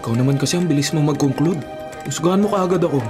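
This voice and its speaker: Guy playing earnest young filipino boyfriend in a radio drama, music under him pretty clearly. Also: on the high side, quick pace, lightly acted. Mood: reassuring. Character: earnest young man, devoted boyfriend, playful amateur sleuth.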